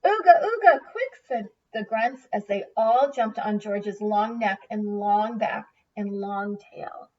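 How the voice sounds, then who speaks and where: A woman's voice: medium pitch, level tone, slow, few pauses, darkish tone. A woman teacher reading a children's picture book aloud expressively, in a distant, slightly muffled recording.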